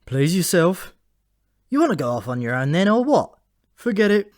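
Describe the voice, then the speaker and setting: A man's voice: sits high, big pitch swings, normal speed, no echo, studio quality, pitched above the performer's own voice. A man voicing a short-tempered, world-weary male raven with a blunt, irritable, colloquial manner, in a comic fantasy audiobook. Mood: impatient.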